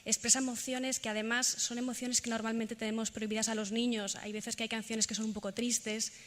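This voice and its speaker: A woman with a Spain Spanish accent gives an informal presentation to an audience, hesitant and pausing often with fillers. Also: on the high side, level tone. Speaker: presenter giving a talk and demonstration. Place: large echoing indoor event space. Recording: reverberant hall, clear background noise.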